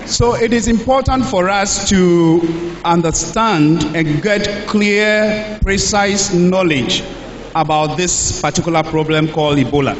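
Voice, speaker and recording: Man with Ghanaian English in an echoey hall. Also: high-pitched. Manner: speaks in short phrases, pausing for translation. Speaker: speaker at a church health talk. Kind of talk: public talk through an interpreter. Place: church hall. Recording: echoey hall, dull lo-fi sound, faint hiss.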